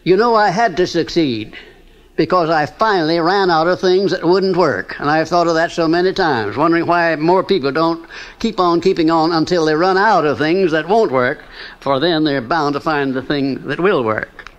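A man giving an anecdotal lecture, telling it in a measured, slightly hesitant way on a dull old recording. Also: on the high side. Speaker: author and lecturer.

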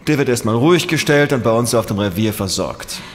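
An actor playing a weary, sceptical police inspector in an audio drama, dry and questioning. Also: male voice, medium pitch, animated, fairly quick, lightly acted. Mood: weary, grumbling. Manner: dry, interrogating. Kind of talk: German audio drama dialogue. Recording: long reverb of a hall.

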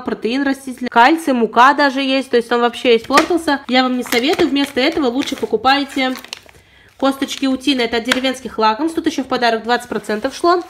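A woman's voice: high voice, slight background noise. A young woman with a sore throat, chattily reviewing pet products she bought, filmed at home. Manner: chatty, detailed, apologetic asides. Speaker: pet vlogger. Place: indoors at home.

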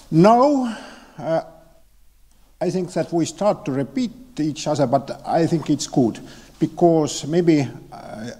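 A man, a native Estonian speaker, giving a relaxed, lightly joking conference talk as a member of parliament, with some hesitation. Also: a little high. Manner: relaxed, joking, slightly halting. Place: conference hall. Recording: hall reverb in a large venue.

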